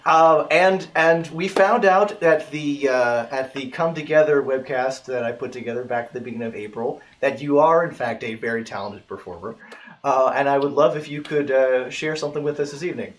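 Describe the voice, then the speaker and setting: A man's voice: medium pitch, moderate pace. A man hosting a casual interview, asking long, rambling questions with restarts. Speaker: host.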